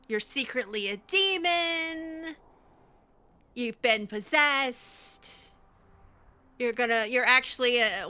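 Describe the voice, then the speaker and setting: A woman with a fairly high, bright voice that swings widely in pitch. She roleplays her way through a video game, reacting in a theatrical, exasperated and sarcastic way. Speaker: gamer roleplaying her character. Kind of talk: improvised in-character game playthrough commentary.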